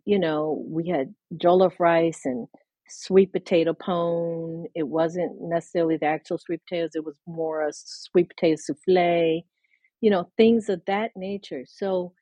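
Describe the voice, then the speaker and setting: Husky low-voiced woman, dry close sound. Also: warm tone, dry recording. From mid-Atlantic United States. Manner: thoughtful, lecturing, with laughs and restarts. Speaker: author and scholar guest. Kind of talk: conversational podcast discussion.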